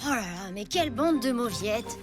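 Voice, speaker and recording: A woman voicing a plucky, bold and excitable young child in an animated children's cartoon. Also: on the high side, normal speed, close to an everyday voice. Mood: exasperated, scornful. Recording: music behind the voice.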